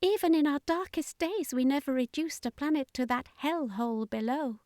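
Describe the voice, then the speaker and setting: Woman playing a high-voiced, anguished alien civilian. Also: moderate pace, darkish tone, dry recording, clean studio sound, lightly acted. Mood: shocked grief. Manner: anguished, disbelieving. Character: dismayed alien civilian woman mourning her ruined world.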